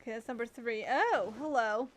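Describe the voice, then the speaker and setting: A woman's voice: on the high side, normal speed, a little hiss or hum. Chatty young woman gaming streamer. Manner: chatty, giggly, filler-heavy. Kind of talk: casual game commentary and reading aloud.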